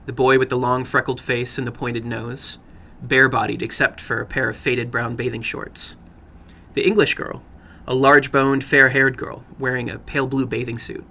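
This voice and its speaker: A man narrating a short horror story dramatically, with a theatrical, deliberate delivery. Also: mid-range voice, vocal fry, bright, forceful tone. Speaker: storyteller, horror fiction broadcast host.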